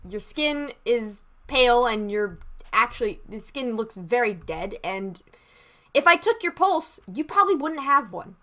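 A woman's voice: on the high side, big pitch swings, a little slow, pushed and bright, exaggerated voice. A woman playing a patient but exasperated medic in an improvised Minecraft zombie roleplay. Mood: exasperated, insistent. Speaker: roleplay player.

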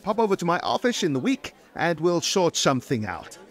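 An actor playing a polite, patient, slightly flustered photographer in an audio drama, hesitant and conciliatory. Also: male voice, fairly high pitch, big pitch swings, brisk, a bit bright, a faint crowd. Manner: hesitant, conciliatory, with nervous chuckles.

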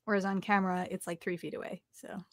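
A woman's voice: mid-range voice, flat delivery, fast, dry recording, studio quality. A young adult woman, talking casually and reflectively as an interview guest, thinking aloud as she goes. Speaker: interview guest, audiobook narrator and voice actor. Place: home studio, indoors.

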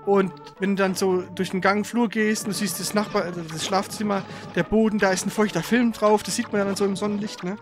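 Man, casual game streamer, chatty, rambling, clear music in the background. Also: high-pitched, flat delivery, a little fast, few pauses. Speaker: streamer and co-host.

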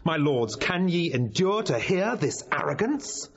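A man's voice: on the high side, big pitch swings, unhurried, vocal fry, highs cut off, full character voice. An actor playing a hot-tempered, vengeful nobleman, fiercely declaiming in classical Shakespearean audio drama. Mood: furious, accusing.

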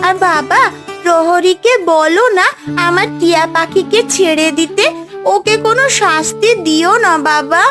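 A gentle young princess played by a woman in a cartoon fairy tale, with a high, childlike voice. She sounds earnest and devoted, with music behind her. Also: unhurried. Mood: tender pleading.

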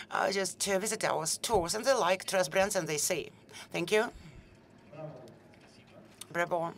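A woman giving a halting live interpretation of a speaker at a conference. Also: fairly low pitch, fairly bright tone. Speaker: simultaneous interpreter.

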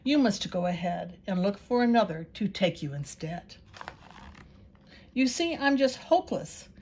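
A woman's voice: medium pitch, sing-song, a little slow, a little mellow. A woman voicing a small, timid male donkey who pleads in a frightened, self-pitying way in a storybook reading. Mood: pleading.

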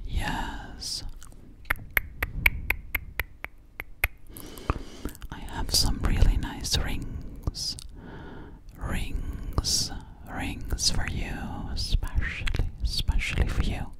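A sweet, slightly sassy alien girl played by a young woman whispers in a soothing ASMR roleplay. She speaks extremely slowly and breathily, right up close to the microphone, lingering on words and repeating them. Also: close to an everyday voice. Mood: soft, coaxing. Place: small dim living room, indoors. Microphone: close studio microphone with foam cover, binaural.

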